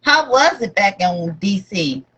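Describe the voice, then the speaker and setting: A woman of middle pitch hosts a podcast, putting chatty questions to her guest and reacting with frequent "wow"s. The audio is dull and lo-fi. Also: sing-song, a little slow, fairly bright tone, breathy edge. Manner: chatty, asks questions with "wow" and "you know". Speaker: podcast host. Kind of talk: casual interview.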